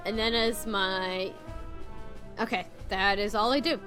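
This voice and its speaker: A woman playing a tabletop game, chatting casually and thinking her moves through aloud in a deliberate way. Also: medium pitch, fairly even intonation. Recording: music behind the voice. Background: game soundtrack music.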